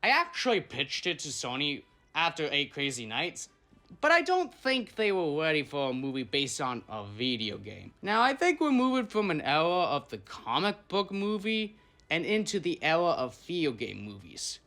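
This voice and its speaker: Bright, animated video commentator. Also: mid-range voice, moderate pace, soft background music. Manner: sweary, opinionated. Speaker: video host. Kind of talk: casual scripted video essay commentary.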